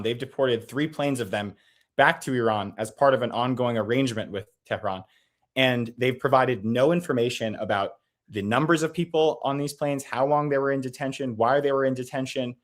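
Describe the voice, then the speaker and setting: A man from the United States, a guest on a political interview, speaking earnestly and quickly with many restarts and small nervous laughs over a video call. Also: mid-range voice. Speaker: advocacy group guest.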